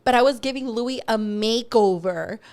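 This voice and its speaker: A young woman co-hosting a podcast, telling stories in a lively way, acting out quotes and dropping into Spanish. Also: a little high, normal speed, clean tone. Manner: animated storyteller, acts out quotes, code-switches to Spanish. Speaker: podcast co-host. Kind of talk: casual storytelling chat.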